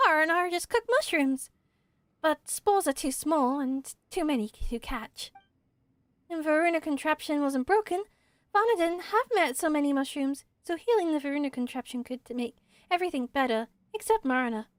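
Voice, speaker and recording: A woman voicing a small, gentle, earnest forest spirit from a video game, childlike and simply spoken in measured phrases. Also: high-pitched, animated, no room sound.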